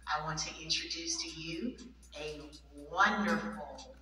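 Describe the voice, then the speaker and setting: A woman's voice: low voice, sharp tone, soft background music. A woman with a Southern US accent speaking poetically on a theatre stage, recorded far off in an echoey hall. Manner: slow, poetic delivery. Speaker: stage performer introducing herself.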